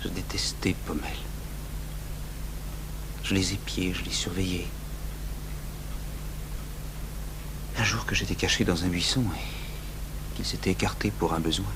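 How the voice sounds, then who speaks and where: An actor playing an anguished, bitter young clerk in a French-language radio drama, confessing haltingly with stammered restarts. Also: male voice, low-pitched, flat delivery, in a whisper, lightly acted. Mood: tense, hushed. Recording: noisy humming old radio tape.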